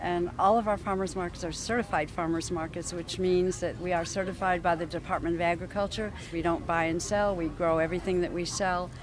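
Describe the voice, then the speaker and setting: A woman's voice: on the low side, steady tone, brisk, hardly any pauses, background noise. Woman in her 60s running farmers markets, chatty and proud, outdoor interview. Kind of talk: interview answers. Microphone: interviewer's handheld mic.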